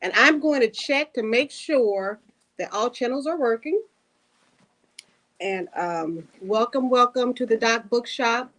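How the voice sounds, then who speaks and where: Upbeat woman host, high voice, chatty, with frequent laughs. Bookshop event, handheld mic. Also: measured pace, a bit bright. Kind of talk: live-streamed author interview. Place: bookshop in front of a small audience. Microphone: handheld microphone.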